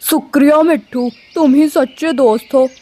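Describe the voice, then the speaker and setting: A woman's voice: sits high, normal speed, warm tone, natural voice. A woman voicing a sick, polite owl in a children's cartoon, pleading in manner. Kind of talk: Hindi children's cartoon voice acting.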